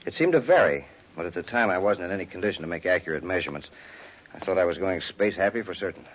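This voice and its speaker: An actor playing an earnest, shaken space lieutenant, intense and insistent, in a 1950s radio serial. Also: male voice, deep voice, animated, fast, slight background noise. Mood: urgent. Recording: dull 1950s radio recording.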